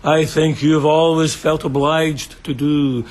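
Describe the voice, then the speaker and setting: A slow, gloating bandit chieftain. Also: male voice, on the high side, steady tone, darkish tone. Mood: quiet menace. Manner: theatrical, mocking, relishing each word, menacing. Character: gloating, sadistic bandit chieftain taunting bound captives. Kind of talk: radio drama acting.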